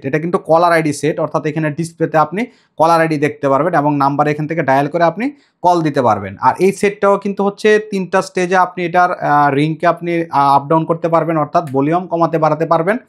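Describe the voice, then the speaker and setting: A man with a Bangladeshi accent presenting a product demonstration to viewers, explaining step by step and repeating his points. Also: fairly high pitch. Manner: explanatory, repetitive. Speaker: shop presenter demonstrating intercom equipment.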